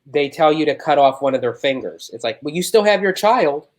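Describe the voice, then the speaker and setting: A man's voice: a little high, animated, brisk. Loose, conversational man giving his take. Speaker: panelist giving commentary. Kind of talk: casual chat.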